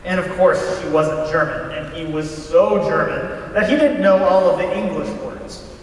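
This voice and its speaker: Casting: chatty folksy male storyteller actor, high voice, conversational, heard in a long-reverb hall. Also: normal speed, natural voice. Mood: amused. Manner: conversational, checks with listeners. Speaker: storyteller in a readers theater. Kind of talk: staged anecdote telling. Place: large hall, live stage performance. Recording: very echoey hall, distant mic, faint noise.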